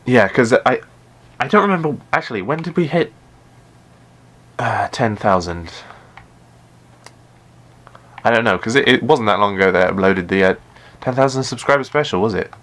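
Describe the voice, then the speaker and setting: A man with a deep voice chatting casually while playing a game live, often stumbling and repeating words. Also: lively intonation, a little fast, fairly bright tone. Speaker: gaming live streamer.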